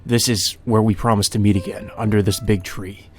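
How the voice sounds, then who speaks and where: A man's voice: fairly low pitch, fairly even intonation, moderate pace. A man reading a visual novel aloud as a let's player, with casual commentary. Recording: music under the voice.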